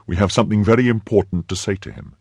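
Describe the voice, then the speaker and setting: A man acts out a young French gallant in a storybook reading, in a low, husky voice. He is confident and affectionate, coaxing one moment and briskly commanding the next. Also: a little mellow, dry recording, clean studio sound. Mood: brisk, light. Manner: confident, coaxing, quick to give orders.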